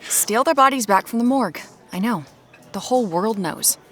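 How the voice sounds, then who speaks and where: A woman's voice: fairly high pitch, lively intonation, moderate pace, a bit bright. A woman playing a determined, dryly frank amateur detective in a naturalistic audio drama. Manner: dry, frank, stubborn. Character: determined amateur woman detective hunting her sister's killer.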